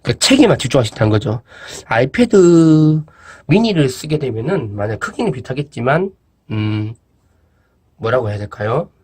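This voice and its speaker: Husky-voiced man chatting through a gadget demo. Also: medium pitch. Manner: relaxed, chatty, thinks aloud with fillers and asides. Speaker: product reviewer. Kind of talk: casual product demo vlog.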